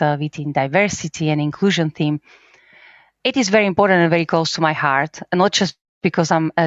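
A middle-aged Slovak woman with a low voice speaks accented English as a podcast guest. She talks lively, with many fillers and "right?" tags. The recording is dry and a bit muffled. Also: no room sound. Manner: animated, many fillers and tag questions. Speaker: business services team leader, guest. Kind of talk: podcast interview.

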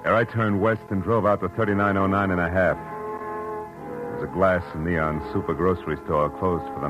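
Very low-voiced, hard-boiled American male gumshoe played by an actor, dry and terse, vintage radio drama over music. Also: lo-fi sound, close to an everyday voice. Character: hard-boiled, world-weary male private detective narrating his case. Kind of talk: 1940s radio detective acting and narration.

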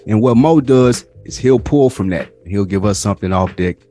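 A man's voice: on the low side, normal speed, dark tone, soft background music. A man co-hosting a podcast in casual debate, speaking bluntly with slang and swearing. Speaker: podcast co-host.

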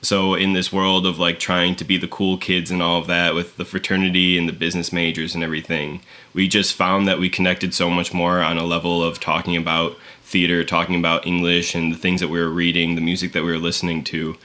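A man's voice: deep voice, monotone, brisk, sharp tone. A man in his twenties with an American accent, chatting casually as a podcast guest. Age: 20s. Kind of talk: casual chat.

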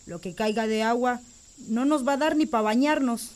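A woman's voice: a little high, moderate pace, darkish tone, close to an everyday voice. A woman playing a worried young farm wife. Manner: worried, insistent. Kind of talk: radio drama acting.

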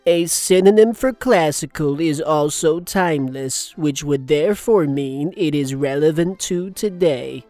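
A man playing an earnest, literal-minded radio sidekick in a formal, deadpan way for a children's audio drama. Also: on the high side, a little slow, hardly any pauses, no echo, soft background music. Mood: polite, pedantic.

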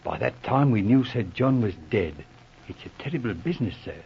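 An actor playing a shaken, deferential butler in a 1940s radio drama, subdued and servile, with simple ungrammatical speech. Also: male voice, mid-range voice, expressive, moderate pace, gravelly, a little hiss or hum. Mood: subdued, grave. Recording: muffled old radio recording.

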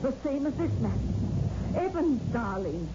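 Anxious wife, lively pitch, noisy recording. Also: female voice, measured pace. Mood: urgent, fearful. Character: anxious, suspicious wife, unsettled traveller on stormy night. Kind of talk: 1960s radio suspense drama acting. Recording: dull, very noisy old radio recording.

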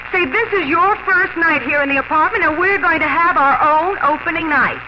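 A woman's voice: very high voice, normal speed. A young woman playing an eager, persuasive grown daughter in a 1950s radio drama, gushing and emphatic. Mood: excited coaxing. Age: young adult. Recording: very noisy, dull 1950s radio recording.

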